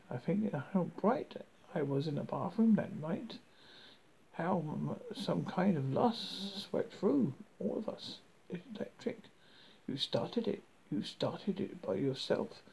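A man with a husky, creaky voice reading a first-person story dramatically, haltingly and stumbling over words as a horror host. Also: high voice, big pitch swings, dark tone, low-quality recording.

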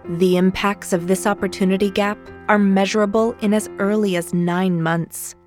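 Woman, scripted charity ad narration, medium pitch, faint music underneath. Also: steady tone, moderate pace, a little mellow. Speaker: advertisement narrator. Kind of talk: scripted public-service ad narration.

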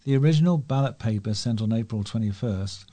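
Mellow man reading local news. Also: medium pitch, a little fast. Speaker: volunteer newsreader. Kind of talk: scripted local news reading for a talking newspaper.

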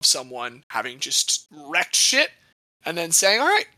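A young man talks sports as a podcast host, quick to joke and swear. His voice sits high and sounds bright and forward. The recording is dry, with no room sound. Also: no echo, low-quality recording. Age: young adult. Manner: animated, jokey, swears casually. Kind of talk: casual sports chat.

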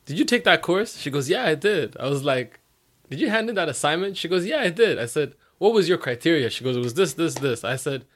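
Animated laughing male storyteller. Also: brisk. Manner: animated storyteller who acts out quotes in a high voice and laughs a lot. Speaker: podcast guest. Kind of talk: casual storytelling chat.

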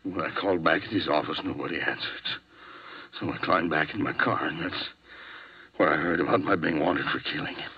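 A man's voice: very deep voice, brisk, slightly rough. An actor playing a hot-tempered, desperate jealous husband hunted as a murder suspect in a 1950s radio drama, volatile in his delivery. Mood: subdued, bitter. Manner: volatile, swinging from menacing outbursts to broken murmurs. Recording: dull, band-limited old 1950s radio recording.

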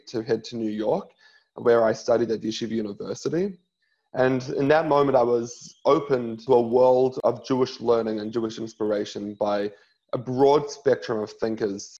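Earnest rabbi from New Zealand. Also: male voice, mid-range voice, a little mellow, highs cut off. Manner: earnest, many filler uhs, restarts. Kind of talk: interview guest telling his story.

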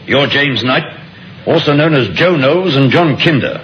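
A man's voice: on the high side, animated, moderate pace, highs cut off, a little hiss or hum, lightly acted. An actor playing a firm, businesslike policeman in a radio drama, formal and official, on an old recording. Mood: stern. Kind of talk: 1950s radio drama acting.

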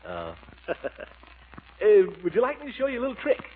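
Pompous radio gentleman, wildly swooping voice. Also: high-pitched, huge pitch swings, fairly quick. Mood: awkwardly polite. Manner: pompous, mock-courtly, flustered. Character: pompous, sociable, easily wounded middle-aged gentleman suitor. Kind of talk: old-time radio comedy acting. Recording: tinny old radio recording, music behind.

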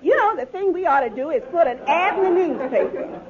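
A muffled old radio show with a loud audience has a high-voiced, exasperated wife. She is scolding and practical, swooping up and down in classic comedy style. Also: expressive, a little fast, crowd noise. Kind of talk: 1940s-50s radio comedy acting.

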